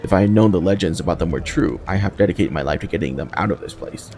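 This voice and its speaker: A man's voice: low-pitched, quick pace, darkish tone, a little hiss or hum. A man voicing a plucky, plain-spoken young woman soldier from a fantasy game, with a breezy, wry manner. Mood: frank, chatty.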